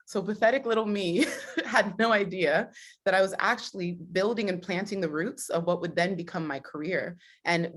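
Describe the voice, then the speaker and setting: A woman's voice: lowish voice, a bit bright. A young adult woman giving an upbeat motivational keynote at a summit, laughing often and stressing her points in lists. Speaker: summit speaker.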